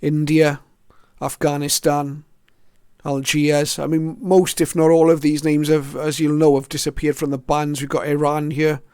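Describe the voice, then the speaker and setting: Smooth, even-toned mature man narrating. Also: a little high, unhurried, no echo, clean studio sound. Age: middle-aged or older. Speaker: shortwave radio hobbyist presenting his collection. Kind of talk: casual show-and-tell narration.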